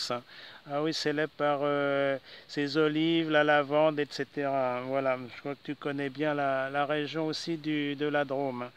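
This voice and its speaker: Male ham operator, high-pitched and smooth, chatty and casual, adding frequent tag words. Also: fairly bright tone. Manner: chatty, frequent "hein" tags. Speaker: amateur radio operator. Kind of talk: casual ham radio conversation. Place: outdoors.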